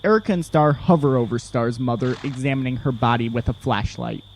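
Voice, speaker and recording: A man's voice: medium pitch, fairly quick, noisy background. Male audio drama narrator, mid-range voice, scripted delivery, heavy effects in the background.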